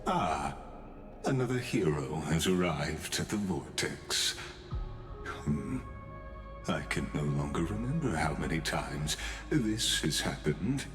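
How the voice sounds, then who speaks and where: An actor playing an enigmatic, quietly amused observer in a video game, speaking in grandiloquent, archaic, measured phrases. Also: male voice, rumbling low, sing-song, exaggerated voice. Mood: calm, ominous. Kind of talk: scripted video game voice acting. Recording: echoey, with loud music behind. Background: game music.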